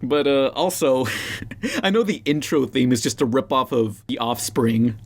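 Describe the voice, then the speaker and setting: A man leading a relaxed podcast chat. His voice is at a middle pitch. He asks questions and chuckles through his asides. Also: a little slow, gravelly. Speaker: podcast host.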